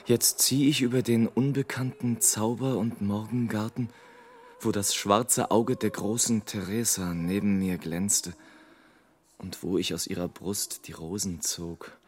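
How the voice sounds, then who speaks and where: An actor playing a melancholic, dreamy airship traveller in a German radio play, speaking in a poetic, theatrical monologue. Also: male voice, lowish voice, steady tone, slight background noise, close to an everyday voice. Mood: rapturous, wistful. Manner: slow, poetic, dramatic delivery with swells and hushed drops.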